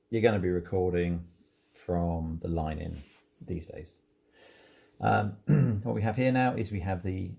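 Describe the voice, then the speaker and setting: Guy doing a relaxed gear demo, mellow low voice, takes it step by step. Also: vocal fry. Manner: unhurried, step-by-step explaining. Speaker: presenter demonstrating audio equipment. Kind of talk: informal hands-on product walkthrough.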